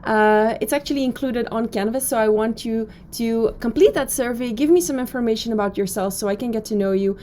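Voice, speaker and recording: A woman's voice: a little high, a little fast, hardly any pauses, a little mellow, a little hiss or hum. A woman in her thirties from Belgium, with a Belgian accent, giving a chatty, upbeat recorded introduction to her online course from her office, with frequent fillers. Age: 30s. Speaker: professor.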